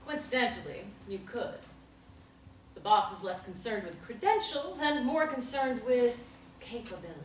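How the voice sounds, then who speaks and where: A woman reading the part of a calm, knowing group therapist in a table read, recorded roomy and from a distance. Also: a little high, moderate pace, a little hiss or hum, natural voice. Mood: cool.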